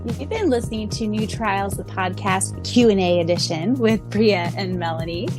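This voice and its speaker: A woman co-hosting a fan podcast, gushing excitedly and laughing often as she reads answers and wraps up the show. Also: mid-range voice. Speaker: podcast co-host.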